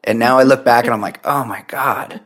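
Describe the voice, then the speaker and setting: A woman co-hosting a podcast who reads out listener emails and teases in a wry, joking way. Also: extremely low pitch. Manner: wry, teasing, quick jokes. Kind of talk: casual chat.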